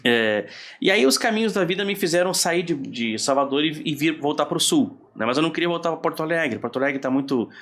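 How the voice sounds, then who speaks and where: A man in his forties from southern Brazil, a guest on a podcast, telling his story in a relaxed, rambling way with laughs and restarts. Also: on the high side, fairly quick. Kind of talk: casual storytelling in conversation.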